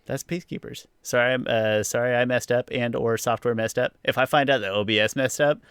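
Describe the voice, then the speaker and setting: A man's voice: mid-range voice, steady tone, brisk, fairly bright tone, smooth, dry recording, clean studio sound. A man co-hosting a podcast, talking through an episode review in a casual, rambling way. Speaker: podcast co-host.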